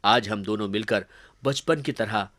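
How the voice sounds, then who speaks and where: A man playing a kind, wealthy merchant in a cartoon story, speaking in a measured, caring and reassuring way. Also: on the low side, lightly acted. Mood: concerned, generous. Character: kind, wealthy merchant, loyal to his childhood friend. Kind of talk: Hindi cartoon story acting. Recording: music behind the voice.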